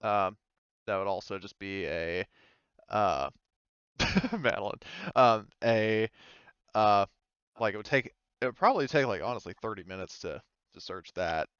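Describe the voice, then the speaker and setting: A man running a tabletop game as game master, narrating casually and hesitantly as he thinks out loud. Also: on the low side, animated, unhurried, clean tone, no echo. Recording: slightly dull, dry close recording.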